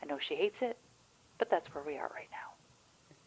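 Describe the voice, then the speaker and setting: A woman's voice: low-pitched, lively intonation, moderate pace, breathy edge, whispered, low-quality recording. A woman playing a chatty, wry, anxious friend in a naturalistic audio drama, rambling candidly with the odd swear. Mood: resigned worry. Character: chatty, wry, anxious Michigan woman on the phone during zombie outbreak.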